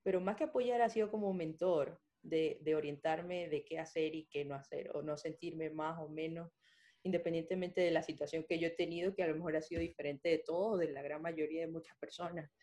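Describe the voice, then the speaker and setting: A woman's voice: low voice, brisk. A young adult woman telling stories in a casual chat, full of fillers and restarts. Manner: animated storytelling, many fillers.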